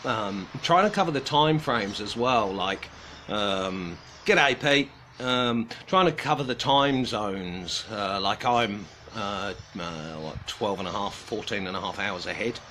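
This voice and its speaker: A man's voice: on the low side, lively intonation, sharp tone, a little hiss or hum. A man from Australia with a British accent, hosting a casual livestream and greeting viewers, hesitant and in a subdued mood. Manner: halting, hesitant, many pauses and restarts. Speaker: livestream host of a carving group.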